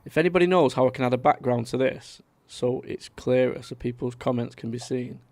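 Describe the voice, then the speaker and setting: A man's voice: mid-range voice, a little fast, a little mellow, no room sound. A man, chatting casually to viewers on a live stream and reading out their comments. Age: 30s. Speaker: livestream host.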